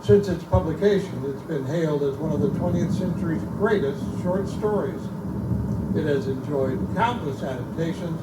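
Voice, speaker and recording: A man giving scripted, dramatic narration to introduce a story at a live reading. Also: a little high. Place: live event in a bookstore. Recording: echoey room, distant mic, loud hum.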